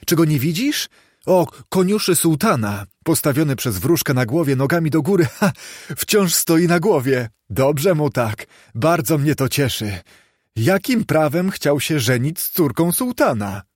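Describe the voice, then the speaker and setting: A man's voice: medium pitch, expressive, a little slow, darkish tone, dry recording, studio quality. A man voicing a sentimental old man who eagerly exclaims over his childhood memories in a storybook reading. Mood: excited, nostalgic. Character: old man Scrooge, excitedly and tearfully reliving childhood memories.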